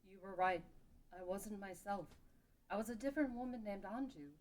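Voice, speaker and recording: A woman's voice: mid-range voice, moderate pace, a little hiss or hum, natural voice. A woman playing a protective, careful warrior guardian in a fantasy table read. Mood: remorseful.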